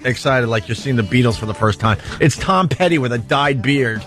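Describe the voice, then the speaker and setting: A man's voice: mid-range voice, animated, fairly quick, a bit bright, soft background music. A man hosting a radio show with casual comedic banter, mocking and incredulous in manner. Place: radio studio.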